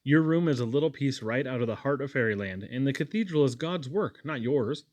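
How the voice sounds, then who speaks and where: A man's voice: mid-range voice, lively intonation, fairly quick, darkish tone, natural voice. Man reading as an eager, sweet young woman. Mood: wistful. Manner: gushing, girlish exclamations.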